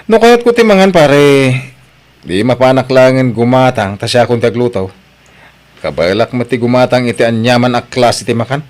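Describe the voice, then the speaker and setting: A man's voice: mid-range voice, normal speed, natural voice. A man with an Ilocano Filipino accent playing an arrogant, newly rich vlogger who talks brashly and dismissively in an audio drama. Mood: boastful.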